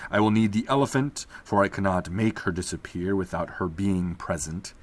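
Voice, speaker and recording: A man's voice: low voice, moderate pace. A man voicing a desperate, ragged old magician locked in a cell, speaking in a pleading, brooding way.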